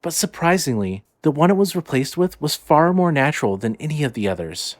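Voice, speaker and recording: A man's voice: a little high, very animated, fairly quick. A man narrating scripted fiction dramatically as the narrator of a story. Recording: faint background noise and ambience.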